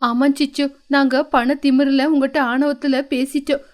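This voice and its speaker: A woman's voice: sits high, little pitch movement, moderate pace, close to an everyday voice. A woman voicing a haughty, melodramatic rich female bird relative in a children's moral story cartoon. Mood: remorseful. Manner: melodramatic, exclamatory.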